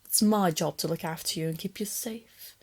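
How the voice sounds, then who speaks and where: Woman playing a breathy, teasing lamia captor, pitched low. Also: moderate pace. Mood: veiled threat. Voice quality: soft, breathy, often slipping into whispers. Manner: teasing, coaxing, condescending, giggly. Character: female lamia captor, softly teasing, doting yet menacing. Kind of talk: intimate roleplay ASMR.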